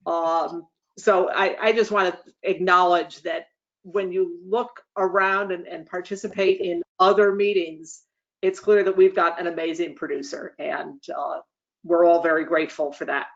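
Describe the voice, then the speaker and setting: A speaker hosting an online meeting, giving semi-formal updates in measured, formal wording with frequent hesitant pauses. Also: mid-range voice, fairly even intonation, a bit bright. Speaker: association leader hosting a meeting. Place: online webinar call. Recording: dull, lo-fi online meeting audio.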